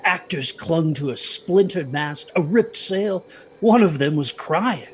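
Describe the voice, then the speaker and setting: A man's voice: a little high, takes it slowly, a little hiss or hum. An actor playing a protective, haunted father who tells his children a ghost story in a hesitant, earnest way, in an audio drama. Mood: haunted, reflective. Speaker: storyteller narrator.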